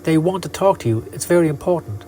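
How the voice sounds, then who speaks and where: A man's voice: a little high, expressive, quick pace, dark tone, slight background noise, voice pushed up, close to an everyday voice. A man voicing an urgent, businesslike recruiting agent in a motion comic, speaking insistently and directly. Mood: urgent, reassuring. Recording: music under the voice.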